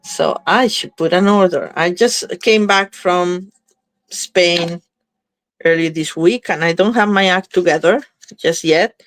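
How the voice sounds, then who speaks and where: A woman's voice: lowish voice, slow, slight background noise, rough recording. A slightly congested woman chatting casually as she demonstrates card making, thinking aloud while she works. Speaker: craft demonstrator. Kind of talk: live crafting demo chat.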